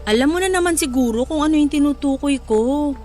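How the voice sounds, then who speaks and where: An actress playing a sensitive, chiding young wife with a Filipino accent in emotional, colloquial radio drama dialogue. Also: female voice, high voice, brisk, mellow, faint music behind, close to an everyday voice. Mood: hurt, chiding.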